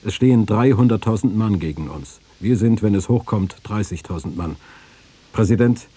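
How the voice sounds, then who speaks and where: A man's voice: fairly low pitch, normal speed, warm tone, breathy edge. A man reading quotations from a script for a radio documentary. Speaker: quotation reader.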